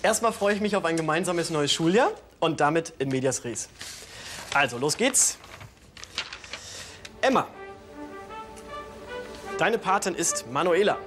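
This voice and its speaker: A man's voice: a little high, expressive, unhurried, pauses often, music in the background. A man playing a cheerful class teacher with a formal, ceremonial manner in a TV drama. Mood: ceremonial, upbeat. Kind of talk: TV drama acting.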